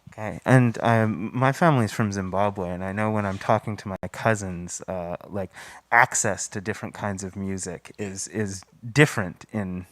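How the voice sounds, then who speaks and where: A man's voice: on the low side, steady tone, normal speed, fairly bright tone, dry recording. A man hosting and moderating a post-show Q&A, asking questions in a hesitant, friendly way. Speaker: festival host and Q&A moderator. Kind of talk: moderating a live Q&A.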